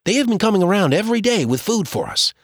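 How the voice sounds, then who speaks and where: A man's voice: sing-song, quick pace, husky, no echo, clean studio sound, full character voice. A man voicing a frightened young frontier boy who pleads through tears. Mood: anxious. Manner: high, pleading, breaking with tears.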